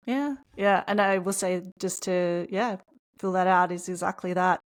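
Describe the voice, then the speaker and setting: A woman's voice: on the low side, steady tone, a little slow. A middle-aged woman with an Australian accent, co-hosting a podcast in an earnest coaching manner. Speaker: podcast co-host. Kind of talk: casual podcast chat.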